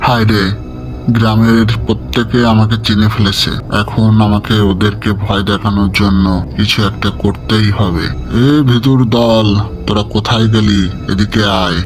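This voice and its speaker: A man's voice: on the low side, steady tone, moderate pace, music in the background, natural voice. A man voicing a cunning, greedy bandit chief in a cartoon, with a gloating, menacing manner and cackling laughs. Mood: desperate. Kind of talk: cartoon voice acting.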